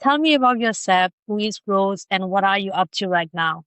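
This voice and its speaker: A woman hosting a podcast speaks in a crisp, clear voice, recorded close and dry. She asks friendly, simple questions and reads a short opening script. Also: medium pitch, lively intonation, moderate pace, fairly bright tone, no echo. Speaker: podcast host. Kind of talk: interviewing a guest.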